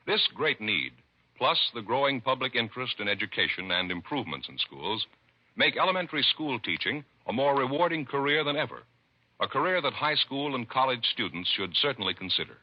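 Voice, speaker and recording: A man's voice: medium pitch, big pitch swings, a little fast. An American man announcing in formal 1950s radio style, reading scripted copy. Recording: muffled 1950s radio recording.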